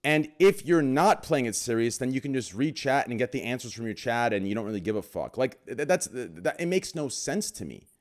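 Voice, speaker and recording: A man with a deep voice, hosting a casual podcast chat, talking in an excitable, heated way and swearing a lot. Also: brisk, few pauses. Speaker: podcast host.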